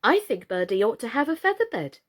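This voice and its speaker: A woman voicing a quiet, thoughtful, helpful young girl in a children's storybook. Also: on the high side, sing-song, brisk. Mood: decided.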